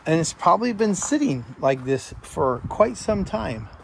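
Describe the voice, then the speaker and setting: A man's voice: a little high, big pitch swings, unhurried, poor audio. A man filming himself exploring abandoned ruins, talking insistently and defensively to his viewers. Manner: insistent, repetitive, heated when addressing critics. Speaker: urban explorer vlogger. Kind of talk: defensive walking commentary. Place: outdoors at abandoned desert ruins beside a highway. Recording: outdoor road noise clearly audible. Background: passing cars and wind.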